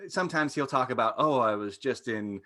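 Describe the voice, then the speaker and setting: A man's voice: mid-range voice, fairly quick, pushed and bright. Man giving opinions in informal style. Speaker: panelist. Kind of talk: casual discussion, giving opinions.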